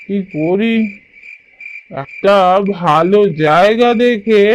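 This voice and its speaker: An actor playing a poor, kind-hearted village labourer in a cartoon, speaking lines in an earnest, plaintive, musing way. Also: male voice, sits high, slow, slight background noise. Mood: weary, hungry. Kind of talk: cartoon voice acting.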